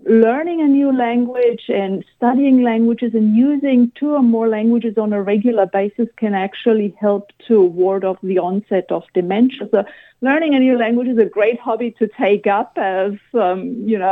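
German accent lady, kinda high voice, thoughtful, lots of ums, sounds like she's calling in over a phone line. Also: moderate pace. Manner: thoughtful, explanatory, many ums. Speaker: guest expert on language learning. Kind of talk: radio interview answers. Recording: phone-line interview audio.

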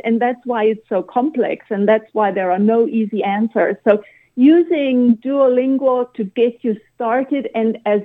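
German-accented woman on a tinny phone line. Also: on the high side. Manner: thoughtful, explanatory, many ums. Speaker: guest expert on language learning. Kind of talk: radio interview answers. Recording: phone-line interview audio.

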